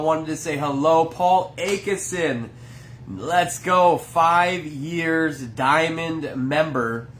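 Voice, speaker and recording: Brief: man, stuffy nose, easygoing business owner chatting on a live stream, voice sits a little high, faint hiss behind. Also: fairly bright tone. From Maine. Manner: chatty, greets viewers by name. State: congested. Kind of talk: casual live-stream chat. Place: workplace, indoors.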